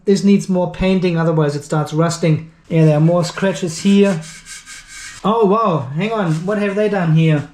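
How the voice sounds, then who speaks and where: High-pitched man reviewing in an echoey room. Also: unhurried, a little mellow, a little hiss or hum. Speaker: video host and product reviewer. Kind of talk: unscripted hands-on product review commentary.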